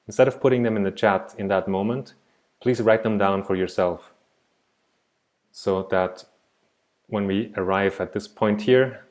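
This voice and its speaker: A man in his thirties from Switzerland, teaching an online art class in a reflective, digressive way over a laptop mic. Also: on the low side. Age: 30s. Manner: reflective, digressive, soft-spoken. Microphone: laptop mic on a video call.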